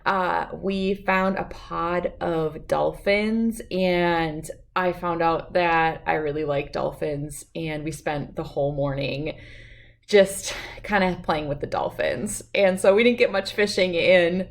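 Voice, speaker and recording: A woman hosting a read-aloud, telling a children's storybook. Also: lowish voice.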